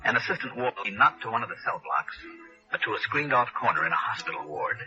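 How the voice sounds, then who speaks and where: An actor playing a hardboiled but decent American insurance investigator in a 1960s noir radio drama. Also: male voice, mid-range voice, big pitch swings, quick pace, natural voice. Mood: brisk, wry narration. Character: hardboiled male freelance insurance investigator, brisk yet decent. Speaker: lead actor and narrator. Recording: dull old radio broadcast, music behind.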